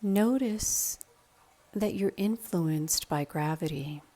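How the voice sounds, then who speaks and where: A woman with a slightly low voice guides a meditation at a very slow pace. Her delivery is calm and measured, giving gentle step-by-step instruction.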